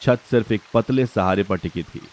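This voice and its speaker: A man's voice: lowish voice, normal speed, darkish tone. A man reading a scripted, dramatic story narration.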